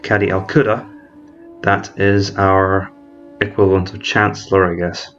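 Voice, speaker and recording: Man, fairly low voice, methodical game commentary, thinks aloud, fixes words mid-sentence, dull lo-fi voice-over, faint hiss. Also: flat delivery, a little slow, a bit bright. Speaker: strategy game let's-player. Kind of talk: explanatory gameplay commentary.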